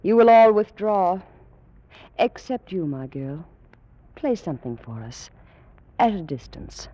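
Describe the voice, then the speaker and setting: A woman's voice: mid-range voice, big pitch swings, a little slow, dark tone, lo-fi sound. An actress playing a coy, regal Egyptian noblewoman, teasing and languid, in formal 1940s radio drama style. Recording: old-time radio recording with music behind.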